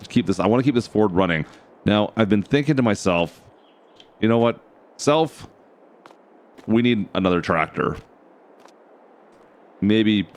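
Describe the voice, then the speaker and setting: A man with a hoarse, raspy voice casually commenting as he plays a farming game, thinking aloud and talking to his viewers. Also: lowish voice. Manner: chatty, thinks aloud, addresses viewers as guys. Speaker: game channel host.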